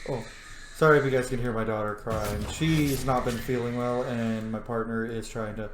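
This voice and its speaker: A man's voice: medium pitch, fairly even intonation, normal speed. A man giving casual, profane, joking commentary while playing a video game. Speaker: gameplay commentator. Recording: roomy room with faint noise.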